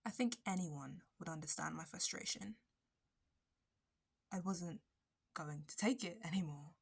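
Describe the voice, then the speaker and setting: A woman's voice: lowish voice, slow pace, pauses often, full character voice. Obsessive, intimate yandere barista woman, acted by a woman. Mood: jealous, seething, then menacing, soft. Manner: intimate, breathy dropping into whispers, nervous laughs and sudden bitter flares. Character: obsessive yandere barista woman, possessive, wounded, unhinged kidnapper. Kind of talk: ASMR roleplay monologue.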